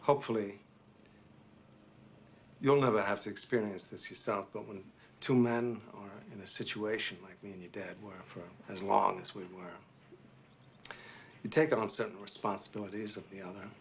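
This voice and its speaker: An actor playing a solemn middle-aged war veteran captain in a film drama, telling his story earnestly and haltingly, with long pauses. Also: fairly low pitch, fairly even intonation, close to an everyday voice. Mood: solemn, friendly. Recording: noisy film soundtrack.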